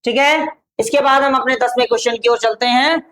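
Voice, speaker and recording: A woman with an Indian accent teaching spoken English to Hindi-speaking students, slowly dictating model answers and repeating each phrase. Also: fairly high pitch, fairly bright tone.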